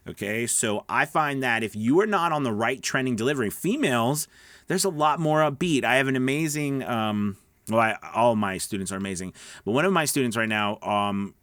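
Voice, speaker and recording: A man's voice: mid-range voice, fairly bright tone, no echo. A middle-aged man giving casual instructional talk as a voice-over coach, emphatic and conversational, often checking in with listeners. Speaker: voice-over coach and online host.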